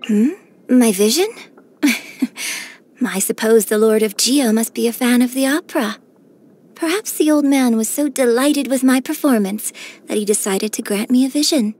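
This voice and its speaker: A woman voicing a graceful, gentle and cheerful young female opera singer in a game, with polite, elegant diction. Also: fairly high pitch, normal speed, lightly acted. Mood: pleased, polite. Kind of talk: scripted game character voice lines. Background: background music.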